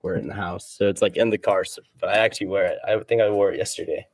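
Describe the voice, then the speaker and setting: A man's voice: deep voice, steady tone, racing, no echo. A man chatting casually as a guest, easygoing and slangy, rambling as he goes. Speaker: interview guest, competitive cornhole player. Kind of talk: casual chat.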